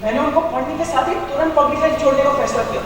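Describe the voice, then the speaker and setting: A man's voice: very high voice, very fast, music in the background. A man acting in a stage play as a humble retired accountant turned private detective, an adoring fan who speaks in an earnest, deferential, flowery way. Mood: pleading, earnest. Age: older. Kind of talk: theatrical Hindi stage acting. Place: stage in an auditorium. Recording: distant mic in echoey noisy hall.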